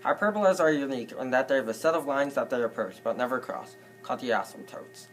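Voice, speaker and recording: A young man presents scripted math material in the manner of a student. His pitch is middling, and music plays clearly behind him. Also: lively intonation, quick pace, low-quality recording. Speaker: student presenter in a puppet show. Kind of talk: scripted math lesson narration. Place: classroom.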